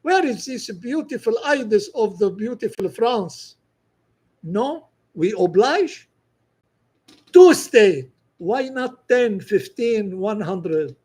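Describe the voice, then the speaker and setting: Man, Lebanese accent, high-pitched, slow, broken English, appealing tone. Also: a little mellow, husky. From northern Lebanon. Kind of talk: political monologue.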